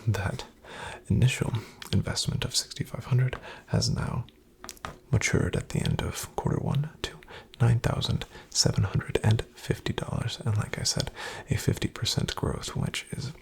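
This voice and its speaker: A man playing a polite, reassuring financial advisor in an ASMR roleplay, in a breathy half-whisper with gravelly fry and a slow, soothing, formal delivery. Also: on the low side, very animated, a little hiss or hum, full character voice. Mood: softly pleased. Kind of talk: ASMR, soft-spoken roleplay.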